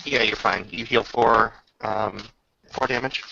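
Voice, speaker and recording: A man's voice: fairly low pitch, fairly even intonation, unhurried, creaky, pushed and bright, dull audio, slight background noise. A man casually running a tabletop roleplaying game as game master.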